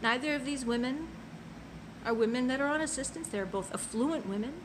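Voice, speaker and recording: A woman telling a personal story in an earnest, impassioned way, swinging from soft to loud. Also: high-pitched, fairly quick, noisy background. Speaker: passport office clerk. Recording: loud music under the voice.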